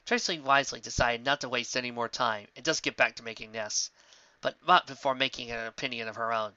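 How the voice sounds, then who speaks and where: A woman narrating a story in an expressive amateur reading style. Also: extremely low pitch, brisk, dry recording, highs cut off.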